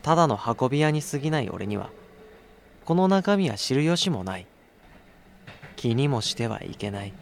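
A man's voice: mid-range voice, very animated, normal speed, creaky, long pauses, slight background noise. A man playing a harried young courier in a radio drama, mixing casual first-person narration with exasperated asides. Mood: tense, narrating. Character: harried young male courier, wry and irritable.

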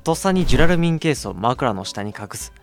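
A man's voice: mid-range voice, sing-song, quick pace, gravelly, soft background music. A man playing a harried young courier in a radio drama, mixing casual first-person narration with exasperated asides. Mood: tense, narrating. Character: harried young male courier, wry and irritable.

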